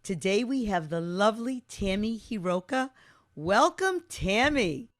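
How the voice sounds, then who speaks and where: A woman's voice: medium pitch, very animated, a little slow. Upbeat woman hosting a podcast. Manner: upbeat, effusive. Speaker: podcast host. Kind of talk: enthusiastic host interview.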